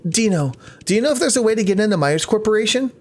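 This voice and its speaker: A man's voice: high-pitched, expressive, fast, pitched above the performer's own voice. A man voicing a curious, suspicious investigator in a visual novel, questioning and uneasy. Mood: determined. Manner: questioning, wary.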